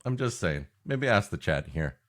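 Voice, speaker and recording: Low-voiced, wry man with very animated delivery. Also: moderate pace. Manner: wry, riffing, playfully sarcastic. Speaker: podcast co-host. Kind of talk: comedic banter on a live history podcast.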